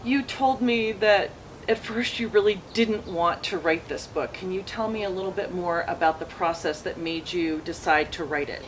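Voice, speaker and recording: A woman's voice: fairly low pitch, normal speed, slight background noise, rough recording. Enthusiastic woman hosting an interview. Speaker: interviewer, host. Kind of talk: warm-up introduction and conversational interview questions.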